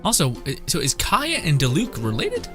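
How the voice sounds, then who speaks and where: A man's voice: fairly high pitch, huge pitch swings, moderate pace. A young man streaming a game with casual, rambling live reactions and some swearing. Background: game music.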